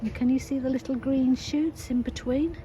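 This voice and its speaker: A woman's voice: high voice, fairly even intonation. Snuffly older woman in her garden. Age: 60s. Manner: chatty, unhurried, self-deprecating. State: snuffly from hay fever. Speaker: gardening vlogger. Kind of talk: casual walk-and-talk commentary. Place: sloping vegetable plot outdoors. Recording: loud outdoor background noise.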